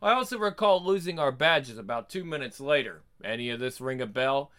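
A man's voice: medium pitch, animated, fairly quick, bright, forceful tone, clear, resonant tone. A man voicing a blustering, crude, wisecracking police detective in a dramatic comic book reading. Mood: sardonic, heated. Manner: sardonic, wisecracking.

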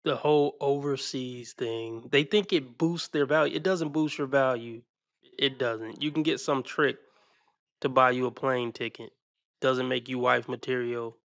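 A man's voice: mid-range voice, steady tone, a little slow, a bit bright, dry recording. Man, reaction commentator, blunt, dismissive, dry close sound.

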